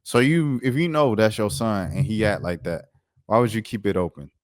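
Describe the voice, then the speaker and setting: A man's voice: fairly low pitch, normal speed, no room sound, clean studio sound. An American man giving a casual, opinionated sports rant in a slangy, incredulous, emphatic way, repeating himself for effect. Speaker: sports commentator, show host.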